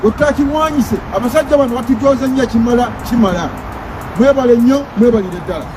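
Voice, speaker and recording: A man leading a protest outdoors, shouting forcefully and repeating slogans. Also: very high-pitched, fast talker. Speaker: protest leader. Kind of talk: rallying speech and chant leading. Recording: outdoor protest with music and crowd noise. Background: music and crowd.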